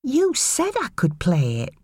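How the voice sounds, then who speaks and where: A woman's voice: wildly swooping pitch, measured pace, a little mellow, breathy edge, no echo, studio quality, heavily acted. A woman voicing a young boy who pleads tearfully with his parents. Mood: pleading. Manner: pleading, high childish voice.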